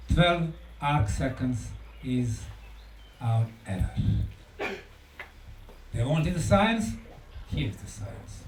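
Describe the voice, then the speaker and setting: A man giving a conference lecture in an emphatic, deliberate way, repeating key words for effect. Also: a little high, a little mellow. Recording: echoey room, distant mic, faint noise.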